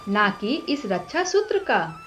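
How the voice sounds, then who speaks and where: A woman's voice: mid-range voice, big pitch swings, takes it slowly, a bit bright. Woman voicing a proud, stern storybook sage husband. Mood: offended pride.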